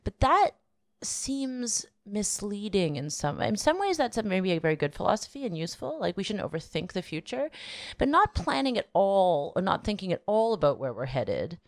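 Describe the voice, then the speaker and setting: A woman's voice: medium pitch, very animated, moderate pace, dry recording, clean studio sound. A woman in her forties co-hosting a podcast in casual, hesitant chat. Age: 40s. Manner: hesitant, many fillers and restarts, laughs easily. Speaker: podcast co-host.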